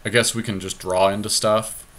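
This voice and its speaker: A man's voice: fairly low pitch, flat delivery, moderate pace, fairly bright tone. A man casually thinking aloud as he co-hosts commentary on an online card game. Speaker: podcast co-host playing an online card game. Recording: noticeable background noise, amateur home capture.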